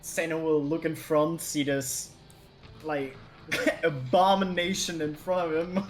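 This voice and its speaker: A tabletop player describes their character's actions at a slow pace. The middle-pitched voice halts and searches for words, with faint music underneath. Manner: halting, filler-heavy, searching for words. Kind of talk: halting in-character narration.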